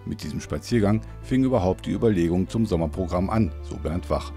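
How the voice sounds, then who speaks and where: A man's voice: sits low, a little fast, warm tone, faint music behind. A man reading a scripted local news report as narrator.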